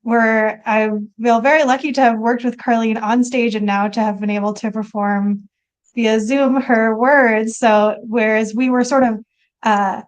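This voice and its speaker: A woman's voice: a little high, steady tone, unhurried, clear, resonant tone. A woman actor chatting casually in a giggly, talkative way on a video call. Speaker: stage actor.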